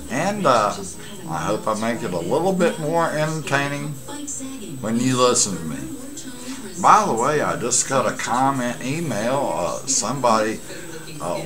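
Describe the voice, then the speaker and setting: A man in his late fifties chatting casually and haltingly to a webcam at home, with rambling asides. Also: on the low side. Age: late 50s. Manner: halting, pausing delivery with asides. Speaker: amateur vlogger and CB radio hobbyist. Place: small cluttered room at home. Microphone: webcam setup at desk distance.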